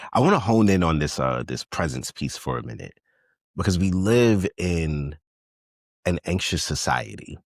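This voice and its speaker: A man from New York with a Brooklyn accent, hosting a podcast interview in a relaxed, reflective way. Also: low voice, slightly rough, dry recording, studio quality. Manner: relaxed, reflective, repeats guest's points back. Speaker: podcast host. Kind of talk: conversational interview.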